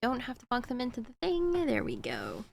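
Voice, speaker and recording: A woman's voice: on the high side, huge pitch swings, normal speed, gravelly. A woman streamer giving casual commentary on her gameplay, talking to herself as she works through puzzles. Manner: muttering to herself while solving puzzles.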